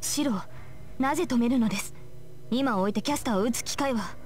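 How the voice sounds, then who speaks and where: A woman's voice: a little high, racing, over music, lightly acted. An actress voicing a vigilant young female knight in a visual novel, speaking formally, earnestly and with composure. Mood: urgent. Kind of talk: Japanese visual novel voice acting.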